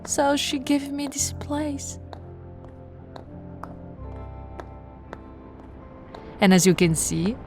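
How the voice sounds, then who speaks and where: Slow, sultry aristocratic vampire woman acting it out. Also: mid-range voice, a little mellow, natural voice. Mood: haughty, elegant. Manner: slow, sultry, theatrical, drawn-out words with breathy whispers. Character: possessive aristocratic female vampire, seductive, haughty, predatory. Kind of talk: intimate ASMR audio roleplay.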